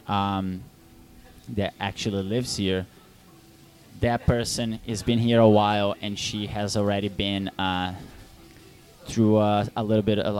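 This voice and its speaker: Man, Brazilian Portuguese accent, young adult, low-pitched, hesitant, full of fillers, interview answers. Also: a little mellow. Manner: hesitant, many fillers and restarts. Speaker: film director.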